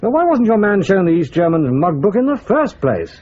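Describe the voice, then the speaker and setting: Snide British police colleague: high husky voice, fussy sarcasm, radio drama with slightly muffled sound. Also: male voice, sing-song, a little fast, darkish tone. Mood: annoyed.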